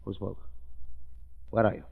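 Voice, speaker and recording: A man's voice: unhurried, natural voice. An actor playing a deranged, vengeful man who believes he is a reincarnated duke, ranting melodramatically in 1930s radio style. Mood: shaken, defiant. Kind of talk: 1930s radio drama acting. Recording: muffled vintage 1930s radio recording.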